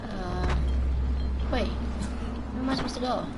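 A woman's voice: mid-range voice, takes it slowly. A woman from Taiwan doing casual live gameplay commentary, chatting with viewers and swearing mildly. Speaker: game streamer. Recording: game noise behind voice. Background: video game sounds.

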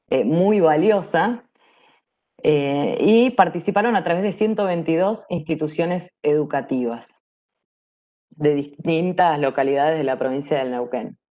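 Argentine woman, 40s, low and slow, halting presenter on a slightly dull video call. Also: mellow. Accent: Argentine Spanish. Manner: halting, pausing between phrases. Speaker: workshop presenter. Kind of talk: online presentation. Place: indoor room at home. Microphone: wired earphones on video call.

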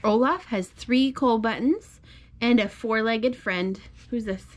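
A woman's voice: a little high, slow pace. A woman, a mother, reading a picture book aloud at home and prompting a small child with simple questions. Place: home bedroom, indoors.

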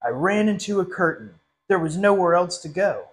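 Higher-voiced young man reading a storybook. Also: expressive, moderate pace. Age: young adult. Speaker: literacy council director reading a story. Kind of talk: storytime picture-book reading. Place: small indoor classroom.

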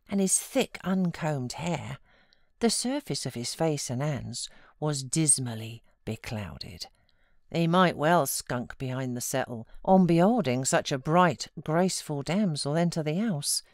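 A woman's voice: sits low, a little mellow, no echo, clean studio sound. A woman with a British accent narrating a classic novel expressively, as a professional audiobook reader.

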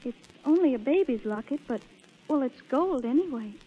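A woman's voice: high-pitched, animated, normal speed. An American actress playing a sweet, loyal teenage carnival girl in old radio drama, earnest and hesitant. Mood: tender, regretful. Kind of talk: 1930s radio drama acting. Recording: dull vintage radio recording.

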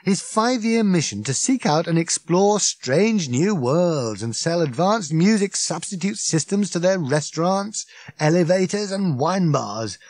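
A man voicing an excitable, fierce, rambling alien man who rants loudly and indignantly. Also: high voice, a little slow, no room sound, pitched above the performer's own voice. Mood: ranting. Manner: loud, indignant ranting.

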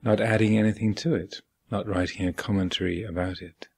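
A man with a husky voice guiding a meditation as a teacher, speaking calmly and deliberately with long pauses. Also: lowish voice. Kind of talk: guided meditation instruction. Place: meditation hall at a retreat.

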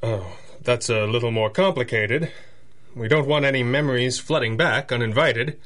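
An actor playing a composed, gentlemanly superhero in a 1930s radio drama, with a measured, formal and dry manner. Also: male voice, medium pitch, natural voice. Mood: reserved, gently stern. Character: composed, gentlemanly male masked superhero, the Red Panda.

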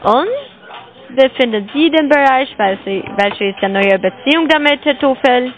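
A woman's voice: high voice, animated, a little slow, highs cut off. A woman making a scam sales call on the phone, pushing with repeated questions in broken grammar. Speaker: scam caller.

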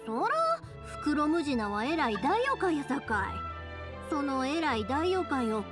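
A woman voicing a cheerful, big-sisterly young fireworks maker in an anime game, with a Kansai accent and a lively, reassuring, colloquial way of talking. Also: high voice, a little slow, over music. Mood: encouraging. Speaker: video game character voice actor. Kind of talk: anime-style game dialogue.

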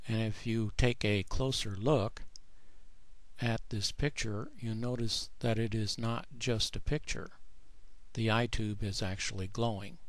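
Very slow-talking man, low-pitched narration. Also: dry recording. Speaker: author presenting his own book. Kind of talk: narrated screen tutorial.

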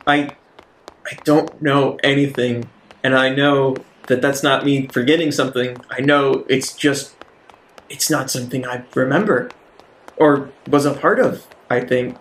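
A man's voice: medium pitch, fairly even intonation, unhurried, fairly bright tone. A man playing a tabletop game, casually improvising in character. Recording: echoey room with some background noise.

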